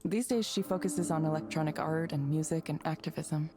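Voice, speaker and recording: A reserved, thoughtful woman voiced by an actress in a visual novel. Her voice is low and her delivery understated and measured. Music is clearly audible behind her. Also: natural voice. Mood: subdued, guarded. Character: reserved, thoughtful woman, a former engineer turned counsellor. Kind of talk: visual novel game voice acting.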